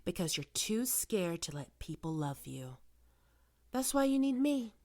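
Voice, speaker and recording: A woman playing a probing, smoothly manipulative psychiatrist in an ASMR roleplay, speaking in measured, deliberate phrases. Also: on the low side, sing-song, a little mellow. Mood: coercive, menacing. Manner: measured clinical questioning with deliberate pauses. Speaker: voice actor performing a roleplay.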